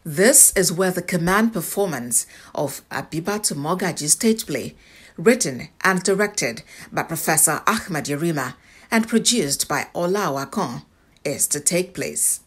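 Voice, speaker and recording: A Nigerian-accented woman narrates a scripted news report. Her voice is on the low side and noticeably husky. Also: lively intonation, a little slow.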